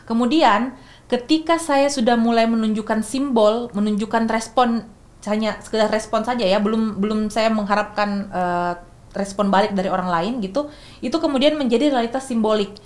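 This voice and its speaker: Young woman, raised pitch, podcast guest, thoughtful, hesitant restarts. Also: moderate pace. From Makassar, Indonesia. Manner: thoughtful, many restarts and fillers. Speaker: guest speaker. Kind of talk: explanatory podcast talk.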